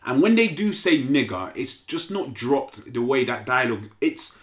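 A man's voice: mid-range voice, big pitch swings, normal speed. A young man, co-hosting a podcast with blunt, swearing, opinionated casual chat. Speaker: podcast co-host. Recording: roomy echo.

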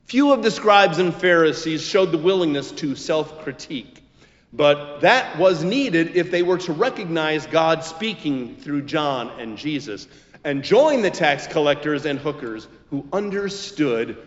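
A male pastor delivers a sermon in deliberate, emphatic phrases. His fairly high voice moves with lively swings of pitch and rings through a long hall reverb. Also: highs cut off.

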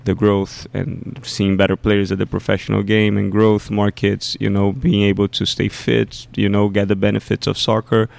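A man's voice: lowish voice, flat delivery, normal speed, vocal fry, few pauses, darkish tone. A man, a youth soccer coach, talking casually on a podcast and often repeating himself as he thinks aloud.

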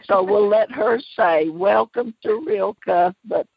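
A woman's voice: medium pitch, slow, gravelly, breathy edge, no room sound. A woman co-hosting a podcast, chatting casually with a guest and restarting her sentences. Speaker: podcast co-host. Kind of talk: casual chat. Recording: dull, dry podcast audio.

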